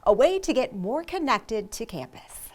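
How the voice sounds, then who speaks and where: A woman's voice: fairly high pitch, sing-song, measured pace, a little mellow. Upbeat woman hosting a local TV feature. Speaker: show host and interviewer.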